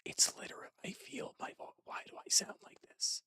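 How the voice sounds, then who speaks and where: A person whispering casual, rambling commentary while playing puzzles, musing aloud in a breathy, hushed voice close to the microphone. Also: brisk. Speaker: host of a puzzle-game stream.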